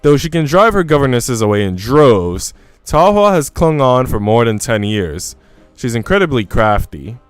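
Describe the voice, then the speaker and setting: A man's voice: mid-range voice, big pitch swings, normal speed, clear, resonant tone, no echo, faint music behind. A man livestreaming a game, talking casually with informal slang and reading story text aloud. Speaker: game streamer.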